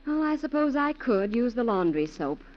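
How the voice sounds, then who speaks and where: A fairly high, animated voice as an ordinary housewife, chatting to herself as she puzzles aloud. It is a muffled 1940s radio commercial. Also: normal speed. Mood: puzzled.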